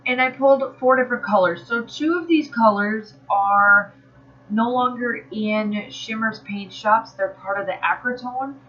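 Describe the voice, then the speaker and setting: A woman's voice: a little high, unhurried, a bit bright. Woman, craft channel host, casual rambling voice-over, nasal from allergies, fillers and restarts, slightly muffled recording. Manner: chatty, rambling, with fillers and self-corrections. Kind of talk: casual voice-over narrating a crafting process video.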